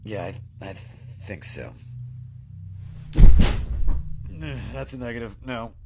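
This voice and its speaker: An actor playing a wounded security officer in a science fiction audio drama, halting and strained with pain, wryly stoic. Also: male voice, fairly low pitch, lively intonation, very noisy. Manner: halting, wry.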